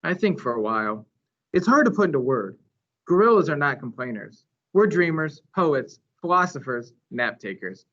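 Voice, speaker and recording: Lively male storyteller, slightly muffled recording. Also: fairly high pitch, moderate pace. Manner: animated, dramatic phrasing. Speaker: storyteller reading a children's novel. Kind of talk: expressive read-aloud narration. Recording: dull, lo-fi recording.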